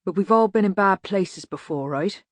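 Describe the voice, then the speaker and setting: A woman voicing an exasperated male gang leader in an audiobook, with gruff, impatient authority. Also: medium pitch, normal speed, no room sound, lightly acted. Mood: firm. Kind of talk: audiobook character voice.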